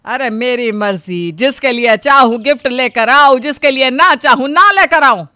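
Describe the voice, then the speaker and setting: A woman voicing a bossy, sharp-tongued older mother-in-law who scolds and commands her family. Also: high-pitched, lively intonation, moderate pace, dry recording, studio quality. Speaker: voice actor. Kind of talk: Hindi storybook drama acting.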